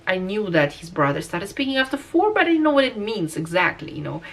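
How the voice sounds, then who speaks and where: A woman's voice: lowish voice, gravelly, a bit bright, far from the mic, low-quality recording. A woman in her thirties from Russia with a Russian accent, talking through a personal vlog in a hesitant way, with many fillers and restarts. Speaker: vlogger.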